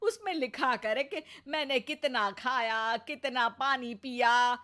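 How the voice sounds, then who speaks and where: A woman voicing a gluttonous older mother-in-law in a cartoon comedy, loud and sarcastic with theatrical whining. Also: sits high, takes it slowly, voice pushed up, exaggerated voice. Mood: sarcastic, indignant.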